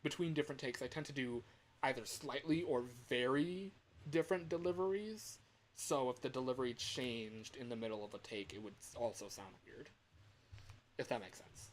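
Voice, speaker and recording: A man talks casually to a livestream at an ordinary mid pitch. He rambles along and pokes fun at himself. A faint, steady fan hum sits under his voice. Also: normal speed. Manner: rambling, self-deprecating. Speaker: livestreamer recording game voice lines.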